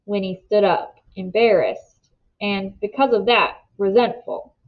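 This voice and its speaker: A woman narrating a children's novel aloud in an expressive storybook style, on a slightly muffled recording. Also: medium pitch, measured pace. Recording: slightly dull, muffled sound.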